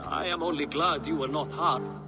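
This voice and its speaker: An actor playing a harried, devoted Norse royal aide in a dramatic video game. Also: male voice, fairly high pitch, moderate pace, natural voice. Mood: gentle, apologetic. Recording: dramatic music underneath.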